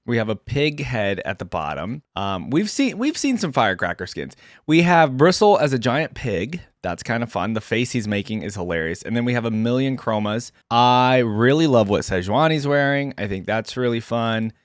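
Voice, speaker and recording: A man's voice: mid-range voice, sing-song, moderate pace, hardly any pauses, no echo, studio quality. A man giving casual, off-the-cuff reaction commentary as a video host, rambling informally as he thinks aloud.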